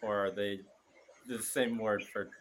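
A man hosting an interview podcast. His voice sits on the low side. He speaks in a friendly way but a little haltingly, with some ums and uhs. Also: steady tone, fairly bright tone, a little hiss or hum. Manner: friendly, slightly halting with fillers. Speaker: podcast host.

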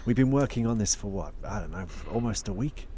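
A man's voice: on the low side, animated, brisk, noisy background, natural voice. A man acting in an audio drama as an uneasy, doubting private investigator with a hesitant, questioning way of talking. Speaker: voice actor.

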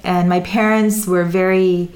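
A woman's voice: on the low side, little pitch movement, measured pace, darkish tone. A woman from Sudan, raised in Dubai, telling stories casually in an interview, with many fillers and restarts. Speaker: interview guest. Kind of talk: casual conversational storytelling.

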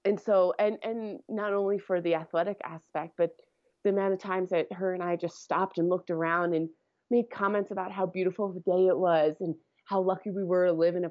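Woman interview guest, lower voice, thinks out loud with restarts, dull lo-fi remote audio. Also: dry recording. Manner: thinks aloud, restarts and repeats words. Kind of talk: casual conversation.

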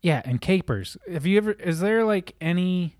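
A man co-hosting a casual chat, talking loosely and profanely with many restarts, his voice a little hoarse and raspy. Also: high-pitched, expressive, moderate pace, darkish tone, dry recording, clean studio sound.

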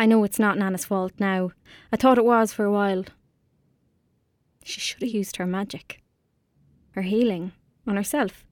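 A woman's voice: medium pitch, no room sound, natural voice. A woman with a rural Irish accent playing a tender, wistful young girl in an audio drama. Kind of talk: audio drama acting, monologue and dialogue.

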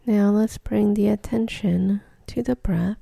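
A woman leading a guided meditation in a gentle, soothing, breathy near-whisper with long pauses. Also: mid-range voice, steady tone, no room sound.

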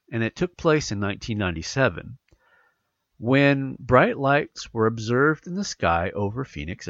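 A congested man hosts a casual podcast, rambling through his points with lots of fillers and restarts. His voice is gravelly and his pitch swings a lot. The recording is dry and a little dull. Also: a bit bright, dry recording. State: congested from allergies. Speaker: podcast host. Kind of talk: casual podcast chat, reading news aloud.

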